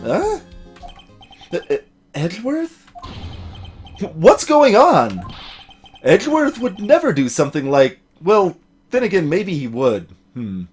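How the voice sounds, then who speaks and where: Man playing a lively sardonic young lawyer. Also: high-pitched, slow, pauses often, husky, soft background music, heavily acted. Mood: startled, flustered. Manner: dry sarcasm, stammers when flustered. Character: young male defense lawyer, sardonic, easily startled.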